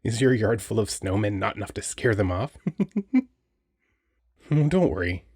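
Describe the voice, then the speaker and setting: A man's voice: fairly low pitch, expressive, moderate pace, a little mellow, husky, no echo, clean studio sound. A man playing a cheerful, flirty Christmas elf toymaker in a romantic audio roleplay, chatty and teasing with the listener. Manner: playful, teasing, bubbly, chatty.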